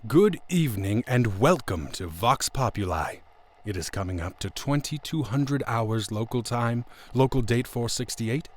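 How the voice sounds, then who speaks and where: An actor playing a courteous radio studio announcer in an audio drama. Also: male voice, mid-range voice, expressive, unhurried, a little hiss or hum, natural voice. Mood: formal announcing.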